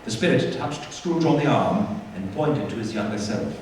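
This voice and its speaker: A man narrating a radio play as a storyteller, with theatrical, deliberate phrasing. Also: on the low side, lively intonation, a little mellow. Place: theatre stage. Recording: echoey theatre hall, distant mic, faint noise.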